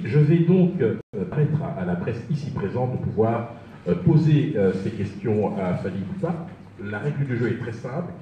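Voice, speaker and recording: A man moderating a press conference in a courteous, wordy and formal way as he hands out the questions. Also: fairly low pitch. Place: press conference room in Brussels. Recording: very echoey hall, distant, dull, faint noise.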